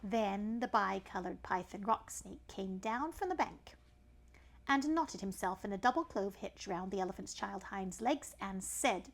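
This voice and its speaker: A woman reading a children's story aloud in an animated style, with chatty asides to a young listener. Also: mid-range voice, unhurried, creaky.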